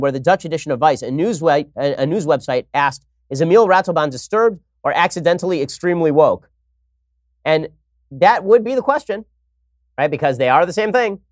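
A man hosting an opinionated political commentary show, talking in a wry, sarcastic way and moving briskly from segment to segment. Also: a little high, no room sound, clean studio sound. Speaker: host.

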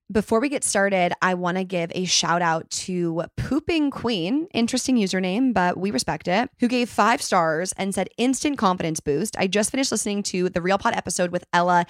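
A young woman hosting a podcast, bubbly and upbeat, her voice a little raspy, talking casually and reading her intro. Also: mid-range voice, fairly bright tone, no room sound, clean studio sound. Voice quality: slightly raspy today. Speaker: podcast host. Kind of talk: casual chat and scripted intro.

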